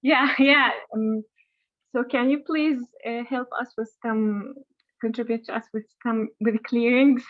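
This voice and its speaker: A woman's voice: on the high side, fairly even intonation. Hesitant woman on a tinny call line. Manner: hesitant, many "uh" pauses, nervous laughs. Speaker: call participant. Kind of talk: asking a question on a group call. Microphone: video call. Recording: tinny phone-like call audio.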